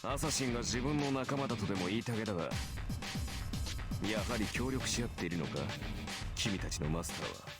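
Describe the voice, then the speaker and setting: Male actor as sardonic, self-assured archer knight for a visual novel, low voice with lively swings, music behind. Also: lightly acted. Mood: probing, confident. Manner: cool, sardonic, deliberate phrasing. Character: cynical, confident male warrior archer in red. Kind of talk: Japanese visual novel voice acting. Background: game music.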